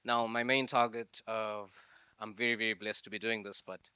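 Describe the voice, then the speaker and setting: A young man from Zimbabwe speaks to a live audience in a loose, chatty way, filling pauses with "um" and "like". His voice sits low but sounds bright and crisp. The recording is dry and close. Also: flat delivery, no room sound. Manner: loose, conversational, lots of "like" and "um". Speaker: masterclass speaker.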